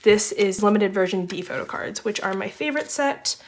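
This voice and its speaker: A woman's voice: mid-range voice, animated, a little fast, slight background noise. Chatty young woman, slightly congested. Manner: chatty, rambling, often says um and like. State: slightly congested, recovering from laryngitis. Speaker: photocard collecting vlogger. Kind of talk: casual chat while sorting.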